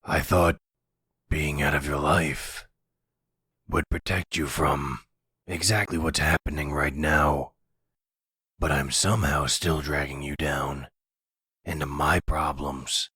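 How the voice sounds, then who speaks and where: A man playing a gruff, weary older mafia boss with a gravelly voice, tender and hesitant, in a roleplay audio drama. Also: a bass voice, steady tone, takes it slowly, long pauses, sharp tone, no echo, clean studio sound, full character voice. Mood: regretful, tender. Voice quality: gravelly, husky.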